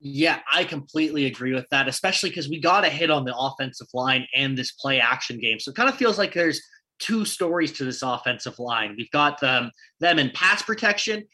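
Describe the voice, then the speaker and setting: A man's voice: medium pitch, expressive, sharp tone, husky. A man with a Canadian accent co-hosting a casual sports talk show, reeling off statistics and posing questions. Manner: stat-heavy, questioning, quick asides. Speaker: podcast co-host. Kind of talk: casual sports analysis chat.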